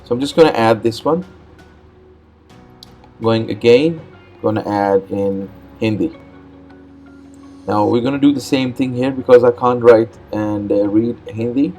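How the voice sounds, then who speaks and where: A man's voice: medium pitch, measured pace, pauses often, soft background music. Urdu-speaking man narrating a computer tutorial. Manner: relaxed, plain explaining with frequent "uh" and repeated words. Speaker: host. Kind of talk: step-by-step screen tutorial narration.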